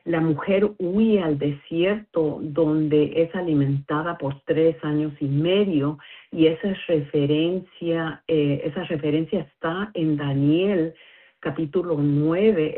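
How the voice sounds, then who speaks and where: A low-voiced woman with a husky edge calls in over a tinny phone line. She talks through a bible study as a non-native speaker, hesitant and careful, pausing on fillers. Her delivery is slow. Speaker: caller.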